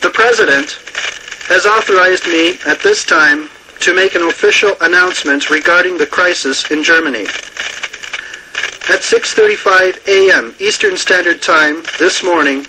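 A man playing a grave government defense spokesman, reading a formal, measured official announcement on the radio. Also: high-pitched, natural voice. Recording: noisy radio broadcast.

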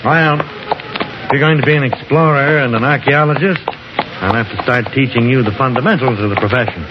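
An actor playing a learned, determined professor and explorer in a 1950s radio drama, speaking in an articulate, instructive way. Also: male voice, medium pitch, a little fast, natural voice. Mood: reflective. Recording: noisy, dull old 1950s radio recording.

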